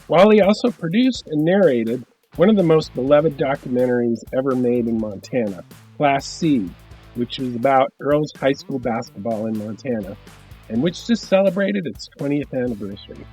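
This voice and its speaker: A man's voice: mid-range voice, darkish tone. A man hosting a podcast, reading a guest introduction and asking questions. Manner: brief backchannel listener. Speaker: podcast host, interviewer. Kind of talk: reading an introduction, then interviewing.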